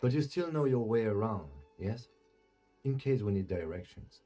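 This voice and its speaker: A businesslike police lieutenant in a video game. His voice is low and soft-edged, his delivery polite, formal and restrained. There is music behind him. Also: expressive. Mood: questioning, polite. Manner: measured, polite, formal, matter-of-fact.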